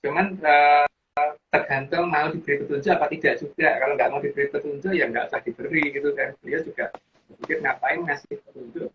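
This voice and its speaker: A man, explaining in casual chat and rambling through restarts and fillers. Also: mid-range voice. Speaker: guest explaining teachings. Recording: dull lo-fi audio with faint hiss.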